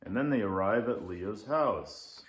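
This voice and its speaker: A man's voice: on the low side, expressive, measured pace. A man narrating a children's story as a storyteller. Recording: roomy echo.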